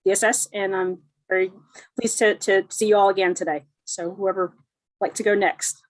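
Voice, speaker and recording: A woman's voice: mid-range voice, fairly even intonation, moderate pace, dry recording, rough recording. Woman with a dry, close sound. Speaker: analytics director, meeting organizer. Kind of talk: informal meeting introduction.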